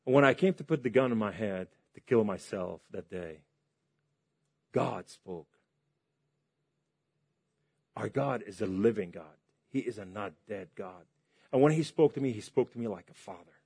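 A man from Lebanon preaching a testimony sermon to a large hall, impassioned and swinging between loud and hushed. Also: lowish voice, lively intonation, moderate pace, gravelly, pauses often, breathy edge.

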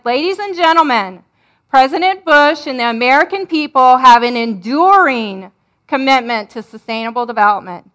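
Bright high-voiced woman presenter. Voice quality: loud voice. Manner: earnest, instructive, addressing the audience directly. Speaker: guest presenter at a church. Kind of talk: church seminar presentation.

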